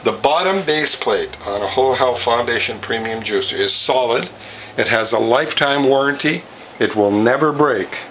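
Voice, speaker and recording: A man's voice: mid-range voice, normal speed, fairly bright tone. Older man presenting, distant noisy recording. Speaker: presenter demonstrating a product. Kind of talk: plain storytelling and how-to demonstration. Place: home kitchen, indoors. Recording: noisy home-kitchen recording, distant mic.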